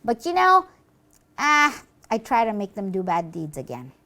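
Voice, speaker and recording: A woman's voice: mid-range voice, wildly swooping pitch, a little slow, pushed and bright, pitched below the performer's own voice, heavily acted. A woman voicing a boastful, gleeful demon henchman in a puppet show, chatty and theatrical as the demon gloats. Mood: aggrieved, dramatic.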